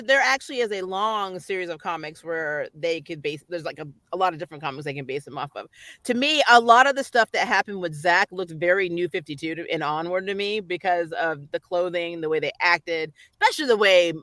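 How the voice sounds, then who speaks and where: A woman hosting a casual chat, speaking in an animated, opinionated way and laughing readily. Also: fairly low pitch, dry recording. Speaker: host.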